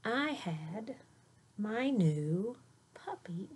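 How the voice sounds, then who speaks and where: A woman's voice: mid-range voice, animated, extremely slow, darkish tone, husky. A woman reading a children's picture book aloud like a teacher, with dramatic delivery and chatty asides to young listeners.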